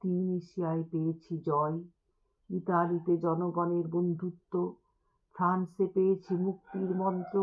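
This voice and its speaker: A woman recites poetry in a dramatic style, with measured, deliberate phrasing and expressive pauses. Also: low voice. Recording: thin, band-limited phone-like sound.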